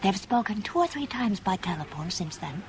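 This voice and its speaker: Composed businesswoman, radio drama, precise measured delivery, mid-pitched, clear background noise. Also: big pitch swings. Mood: businesslike. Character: composed, businesslike woman, discreet conspirator. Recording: noisy old radio drama recording.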